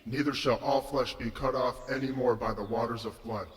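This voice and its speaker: A man's voice: mid-range voice, fairly even intonation, brisk, pitched above the performer's own voice, natural voice. A man voicing God as a solemn, almighty figure, declaiming in a dramatized scripture reading. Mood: solemn, majestic. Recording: echoing voice with long hall reverb.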